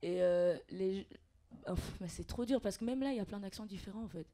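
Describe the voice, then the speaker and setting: A woman's voice: mid-range voice, a little fast, mellow, slight background noise. A woman from Switzerland, a guest on a podcast, explaining things earnestly in casual chat with many fillers. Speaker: guest, freestyle rapper and actress.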